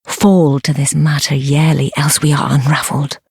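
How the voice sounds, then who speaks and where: Sly courtly noblewoman, low husky breathy voice, slow formal archaic delivery, dark fantasy game. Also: level tone, no room sound, full character voice. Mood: grave, scheming. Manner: measured, formal archaic speech, deferential yet commanding. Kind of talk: fantasy video game acting.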